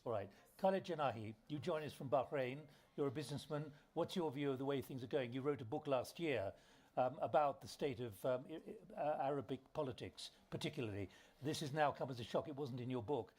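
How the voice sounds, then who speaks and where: A man moderating a panel discussion, steering it with brisk, probing questions. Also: medium pitch, a little mellow.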